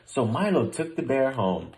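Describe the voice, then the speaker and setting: A man narrates a children's picture book aloud. His pitch is middling for a man and rises and falls in an animated way. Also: normal speed, creaky. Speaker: storybook reader. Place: small room at home, indoors.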